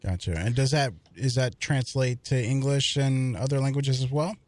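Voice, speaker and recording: A man's voice: mid-range voice, fairly even intonation, normal speed, a little mellow, dry recording. A man hosting a casual interview, encouraging his guest and laughing along. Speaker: host.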